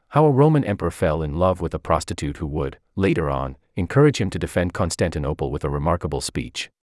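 A man reading a personal essay aloud in a measured, reflective way. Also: deep voice, darkish tone, dry recording, studio quality. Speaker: article reader.

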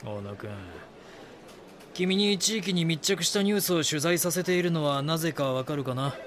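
A man playing a calm, measured chief editor mentoring a junior in a Japanese voice drama. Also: a little high, a little hiss or hum. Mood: probing, instructive. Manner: instructive, composed.

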